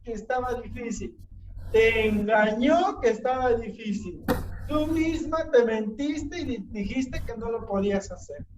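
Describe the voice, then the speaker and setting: A woman's voice: a little high, measured pace, reverberant. Woman, math tutor, patient repeated questions, distant mic, faint room noise.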